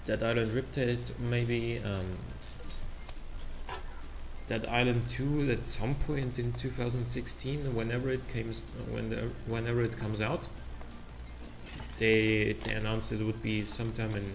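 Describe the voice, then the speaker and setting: A man's voice: mid-range voice, level tone, measured pace, gravelly, music in the background. A young man from Austria, a native German speaker with an Austrian accent, rambling casually with many fillers and restarts while playing a game. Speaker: let's play host. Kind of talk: casual rambling commentary over gameplay. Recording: noisy home recording.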